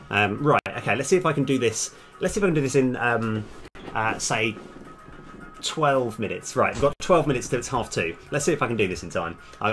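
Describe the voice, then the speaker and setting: A man with a low voice talks himself through code on a casual livestream. He mutters terms in fragments as he works, close to a desk microphone. Clear music plays behind him along with keyboard typing. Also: fairly bright tone. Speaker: game developer live-streaming his coding. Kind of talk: casual coding livestream, thinking aloud. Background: background music, computer keyboard typing.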